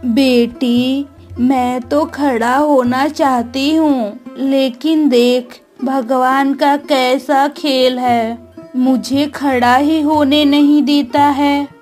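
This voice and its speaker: A woman's voice: high-pitched, steady tone, drawn-out words, darkish tone, soft background music. A woman voicing an ailing village mother in a cartoon story, tender, weary and resigned. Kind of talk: acted Hindi moral story cartoon dialogue.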